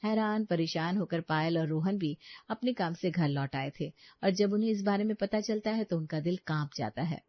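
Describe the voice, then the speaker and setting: A woman's voice: lowish voice, normal speed, no room sound, lo-fi sound. A woman reading scripted narration for a cartoon story.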